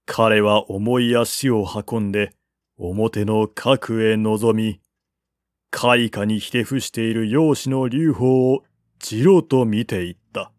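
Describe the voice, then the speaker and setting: Male audiobook narrator for historical fiction: measured, unhurried, mid-pitched, recorded dry. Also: fairly even intonation, a little mellow, no echo. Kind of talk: dramatic reading of a historical novel.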